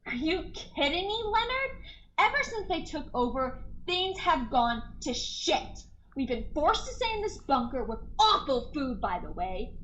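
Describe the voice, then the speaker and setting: A woman's voice: sits high, a bit bright, heavily acted. A woman playing an outspoken, confrontational scientist with a sarcastic manner in a radio play. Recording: muffled, noisy home-style recording.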